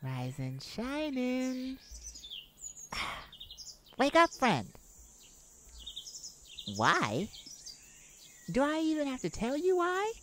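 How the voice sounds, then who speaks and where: A woman's voice: high voice, wildly swooping pitch, very slow, long pauses, full character voice. A woman playing a chipper, silly, caring roommate and best friend in a comforting audio roleplay, with playful, theatrical phrasing. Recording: noisy, birds and insects behind. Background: nature sounds with birdsong and insects.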